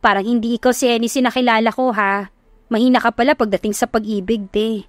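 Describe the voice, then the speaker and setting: A woman's voice: on the high side, steady tone, fast, no echo, studio quality, natural voice. A woman voicing a sassy, encouraging young female best friend in an audio love story, with slangy, emphatic, teasing pep talk. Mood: emphatic encouragement.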